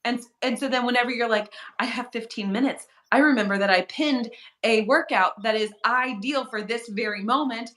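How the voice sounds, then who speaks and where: 30s woman, higher bright voice, animated storyteller in a casual chat. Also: moderate pace. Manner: animated storyteller, acts out her own thoughts. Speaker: co-host.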